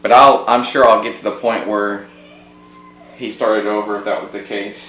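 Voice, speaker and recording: A man's voice: fairly low pitch, steady tone, moderate pace, fairly bright tone. A man co-hosting a casual home podcast, talking in an informal conversational way. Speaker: podcast co-host. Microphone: podcast microphone on a tripod. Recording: muffled roomy podcast mic, background hum.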